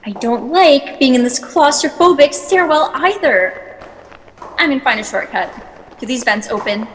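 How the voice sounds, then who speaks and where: A woman's voice: high voice, expressive, normal speed, big-room sound, a little hiss or hum. A woman playing a bored, haughty, sardonic shapeshifting bird in a sci-fi satire audio drama. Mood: bored, sulky.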